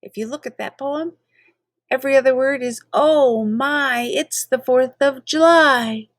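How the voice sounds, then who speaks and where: A woman's voice: sits high, a little slow, no room sound, clean studio sound. A woman reading children's poetry aloud in a playful, theatrical manner, drawing out words for emphasis. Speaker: reader of children's poems.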